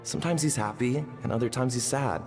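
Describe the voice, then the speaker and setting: A man's voice: mid-range voice, brisk, darkish tone, faint music behind. A man playing a devoted, earnest carer of an animal companion in a video game, heartfelt in delivery, with an echoing flashback effect. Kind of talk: video game character acting. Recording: long reverberant echo effect.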